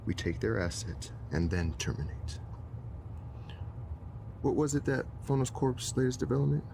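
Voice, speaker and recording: An actor playing a calculating, patient villainous boss with a gravelly voice and formal, measured speech in an audio drama. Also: male voice, medium pitch, expressive. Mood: scheming, composed. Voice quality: gravelly, creaky. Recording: clearly noisy ambience behind.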